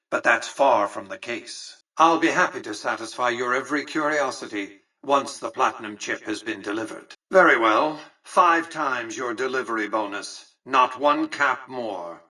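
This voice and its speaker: An imperious old tycoon ruling a casino city in a video game. He sounds set back from the mic, with a bright, tense tone and a rough grain. His delivery is pompous and precise, measuring each phrase with cool condescension. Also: medium pitch, far from the mic, low-quality recording. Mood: stern, businesslike. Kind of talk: scripted video game character dialogue.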